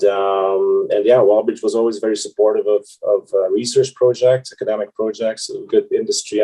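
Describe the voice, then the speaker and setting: Man, low mellow voice. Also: rough recording. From Hungary. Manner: halting, many restarts and repeated words. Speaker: guest, VP of exploration. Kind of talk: casual interview answers.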